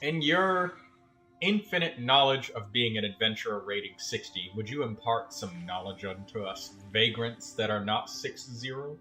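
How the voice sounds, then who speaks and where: Bright-voiced man, casual game streamer. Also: on the low side, expressive, normal speed, soft background music, rough recording.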